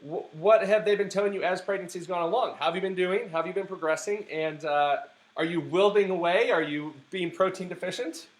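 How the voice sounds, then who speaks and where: A man with a somewhat high voice, worn hoarse by a sore throat, hosts a live stream from a family home. He talks with eager, preachy conviction, looping through fillers and restarts. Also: fairly quick. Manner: enthusiastic, preachy advocacy with many fillers and restarts. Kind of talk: casual live-stream chat.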